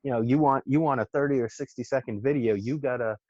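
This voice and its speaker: A man's voice: medium pitch, fast talker, darkish tone, no room sound, lo-fi sound. A middle-aged man with a Massachusetts accent, talking casually as a podcast guest, rambling and swearing, with lots of restarts. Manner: rambling, profane, many restarts and fillers. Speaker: podcast guest, social media marketer. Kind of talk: casual chat.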